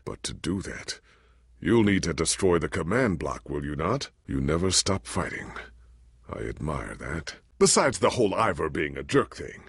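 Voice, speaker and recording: An actor playing a proud but anxious, forgetful legendary warrior in a video game, with an earnest, formal, theatrical delivery. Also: male voice, sits low, fairly bright tone, husky, no echo, studio quality, heavily acted. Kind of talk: dramatic video game voice acting.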